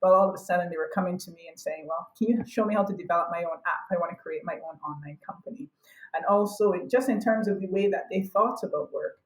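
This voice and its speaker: A woman's voice: fairly low pitch, fast. A middle-aged woman from Barbados, explaining conversationally as an interview guest. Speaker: interview guest, academic and consultant. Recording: echoey room sound.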